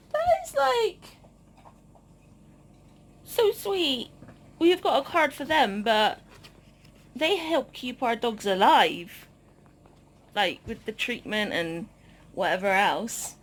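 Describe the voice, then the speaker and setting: High-voiced woman chatting slowly to camera. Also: very animated, slight background noise. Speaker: vlog host. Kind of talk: casual vlog chat to camera.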